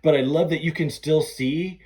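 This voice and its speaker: A middle-aged man hosting a podcast, chatting casually and gushing like an excited fan. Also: fairly high pitch, moderate pace. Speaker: podcast host. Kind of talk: casual chat between film fans.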